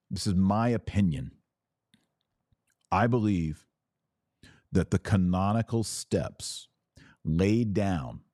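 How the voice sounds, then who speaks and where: A man hosting a religious commentary podcast, reading passages aloud and speaking in deliberate, emphatic phrases with rhetorical questions. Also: deep voice, a little mellow, dry recording, studio quality. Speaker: podcast host and author.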